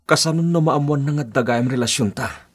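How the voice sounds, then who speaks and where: A man's voice: mid-range voice, fairly quick, husky, close to an everyday voice. A man acting an evasive, two-timing young boyfriend in a radio drama, with a Filipino accent. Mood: placating, evasive.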